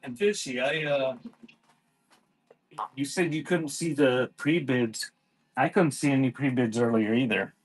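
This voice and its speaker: Slow talking laid back guy running a live sale stream, casual chat, dry sound. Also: mid-range voice, husky, no echo, low-quality recording. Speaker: live auction seller and host.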